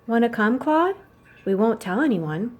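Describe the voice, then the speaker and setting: A woman's voice: fairly high pitch, sing-song, unhurried, darkish tone, voice pushed up. A woman voicing a young boy in a children's storybook, a blunt, money-minded and eager little brother. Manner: blunt, matter-of-fact.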